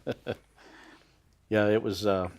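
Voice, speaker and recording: A man in his sixties hosting a TV studio interview, asking leading questions in a halting way with many pauses. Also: lowish voice, flat delivery, vocal fry, a little mellow. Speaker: host.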